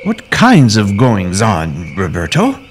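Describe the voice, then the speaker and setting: A genial Victorian gentleman of an audio drama, played by a man, low in pitch and very animated. He speaks politely and reassuringly, like a fond uncle, over a faintly noisy night-time ambience. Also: slow, full character voice. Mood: reassuring, concerned. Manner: polite, old-fashioned, reassuring phrasing. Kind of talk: period audio drama acting.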